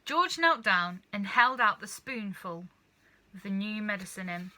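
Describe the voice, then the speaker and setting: Woman, children's storybook narrator, expressive reading, very bright crisp forceful tone. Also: mid-range voice, unhurried. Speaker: storybook reader.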